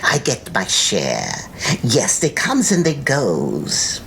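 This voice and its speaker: A woman's voice: a bass voice, wildly swooping pitch, takes it slowly, vocal fry, fairly bright tone, a little hiss or hum, exaggerated voice. A woman playing a fawning, unwholesome housekeeper in an audiobook, with folksy, ungrammatical landlady patter. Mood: ingratiating, salesy. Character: fawning, unwholesome older housekeeper renting out rooms. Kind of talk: acted audiobook character dialogue.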